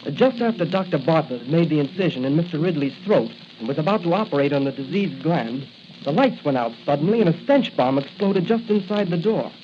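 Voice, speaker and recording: An actor playing a nervous, defensive hospital intern with a hesitant, protesting manner in a 1940s radio drama. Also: male voice, a little high, expressive, fairly quick, a little mellow, lightly acted. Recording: dull, hissy 1940s radio recording.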